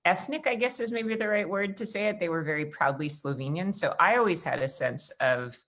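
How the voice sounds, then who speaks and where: A low-pitched American woman in her forties hosts a casual chat over a video call. She rambles happily through her stories, padding them with fillers and restarts. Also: fairly quick, fairly bright tone. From United States. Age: 40s. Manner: chatty, rambling storyteller with frequent fillers. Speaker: host.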